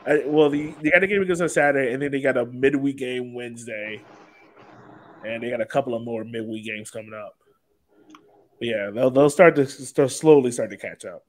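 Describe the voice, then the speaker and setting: A man's voice: medium pitch, brisk. A man hosting a casual sports talk show, chatting in an informal, joking way. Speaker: podcast host.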